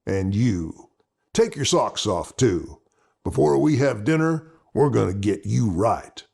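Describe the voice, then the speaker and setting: A man voicing a gruff but loving army captain who gives orders, in an audiobook. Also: fairly low pitch, animated, slow pace, a little mellow, heavily acted. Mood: commanding. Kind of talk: audiobook character dialogue.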